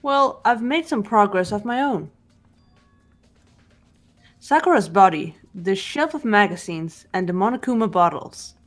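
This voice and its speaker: An amateur actress voicing a composed, analytical young female detective in a visual novel game, measured and matter-of-fact. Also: medium pitch, animated, soft background music, lightly acted. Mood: cool, analytical. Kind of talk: fan-dubbed visual novel game acting.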